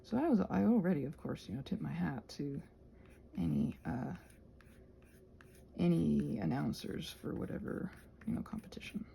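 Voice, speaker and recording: Animated, creaky-voiced woman rambling casually. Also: sits low, normal speed, pauses often, a little hiss or hum. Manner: chatty, digressive, whimsical. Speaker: craft vlogger. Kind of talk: casual rambling chat while crafting.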